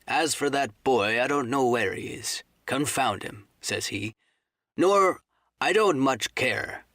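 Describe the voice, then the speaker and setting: A man voicing a cunning, commanding old pirate sea cook who drawls threats in rough seafaring dialect, as in an audiobook. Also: mid-range voice, animated, takes it slowly, fairly bright tone, no room sound, clean studio sound, exaggerated voice. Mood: grim, impatient. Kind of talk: dramatised audiobook reading.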